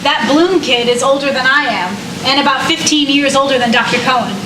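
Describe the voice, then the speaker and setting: A woman's voice: high-pitched, fairly quick, noisy background, natural voice. A woman playing a wry, teasing new mother in a comic staged reading, recorded at a distance in an echoey room. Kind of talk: staged reading comedy acting.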